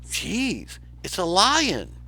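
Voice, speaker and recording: A man voicing an excitable, bossy, fast-talking meerkat sidekick in an exclamatory way for a storybook. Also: sits high, gravelly, no room sound, pitched above the performer's own voice, full character voice. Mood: alarmed.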